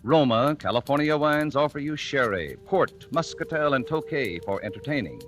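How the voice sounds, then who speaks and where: American man, vintage radio ad, mid-pitched, lively intonation, polished salesmanship. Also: moderate pace. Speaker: sponsor announcer. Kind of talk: 1940s radio advertisement. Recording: vintage 1940s radio broadcast with music.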